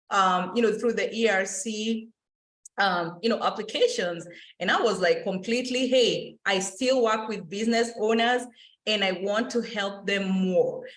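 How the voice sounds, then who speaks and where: Woman, financial educator, lively webinar talk, roomy echo. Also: medium pitch. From Kenya. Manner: energetic, speeds up through lists, many fillers. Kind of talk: casual enthusiastic presentation.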